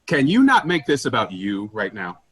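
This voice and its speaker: A man's voice: mid-range voice, wildly swooping pitch, normal speed, fairly bright tone, a little hiss or hum. A man acting an overbearing, self-important general manager in an argumentative way, in a staged play reading. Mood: irritated, bossy. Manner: argumentative, pompous.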